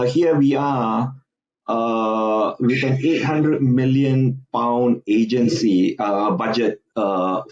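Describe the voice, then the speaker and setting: A man from Singapore speaks slowly and haltingly, stopping often on fillers and repeating words as he builds his point. His voice sits at an ordinary pitch, and the remote recording sounds a bit dull and muffled. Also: a little mellow. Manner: halting, many fillers and restarts. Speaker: guest commentator. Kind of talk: podcast interview.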